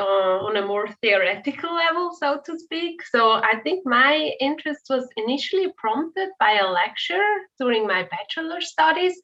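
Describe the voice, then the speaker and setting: Swiss woman researcher explaining stuff, bright crisp-edged voice, kinda muffled lo-fi audio. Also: a little high. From Switzerland. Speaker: postdoctoral researcher. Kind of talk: explanatory interview talk.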